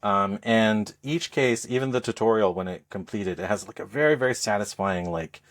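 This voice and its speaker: Deep-voiced man chatting about games. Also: normal speed. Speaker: podcast host reviewing games. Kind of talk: casual game review chat.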